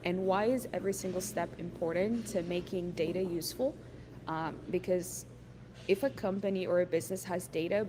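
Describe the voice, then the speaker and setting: A woman's voice: on the low side, background noise. A woman from Ethiopia presenting an online workshop, friendly and encouraging, with many ums and uhs. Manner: frequent fillers, friendly and encouraging.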